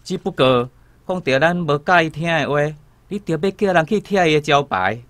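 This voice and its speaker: A man with a Taiwanese accent playing a reasonable gentleman, a placating father in a radio drama. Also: a little high, expressive, no echo. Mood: reasoning. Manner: measured, reasoning.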